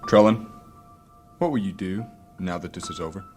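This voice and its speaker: A man performs a haunted adventurer in a fantasy audio drama. His voice is deep, his manner terse and brooding. Faint music sits behind him. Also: moderate pace, a little mellow, lightly acted.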